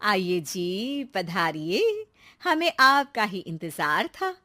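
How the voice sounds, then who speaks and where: A woman's voice: mid-range voice, sing-song, very slow, bright, forceful tone, exaggerated voice. A woman voicing a clever young village wife in a cartoon story, exasperated yet sly and sweet-talking. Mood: falsely sweet.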